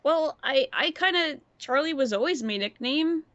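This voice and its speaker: A woman playing a forgetful, earnest young vampire in a tabletop game, stammering and halting as she talks. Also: high-pitched, expressive, a bit bright, muffled recording, voice pushed up. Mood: hesitant, friendly.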